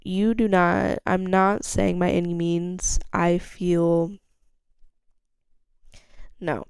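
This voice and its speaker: A young woman chats on her own podcast, rambling, giggling and swearing freely. Her voice is gravelly and mellow. The sound is dry and close. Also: flat delivery, drawn-out words, no room sound. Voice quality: gravelly low-toned voice. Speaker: podcast host. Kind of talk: casual solo chat.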